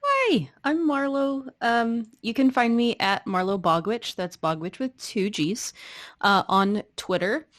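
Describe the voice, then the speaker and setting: A woman's voice: a little high, expressive, takes it slowly, fairly bright tone, no echo. Casual woman co-host, close dry sound. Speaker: co-host and player. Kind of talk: casual chat, self-introduction.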